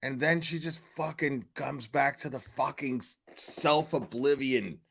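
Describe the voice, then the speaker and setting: Slow, sharp-voiced man giving commentary. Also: medium pitch, vocal fry, breathy edge. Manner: profane, deliberate, mocking. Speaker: reaction video host. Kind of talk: casual unscripted commentary.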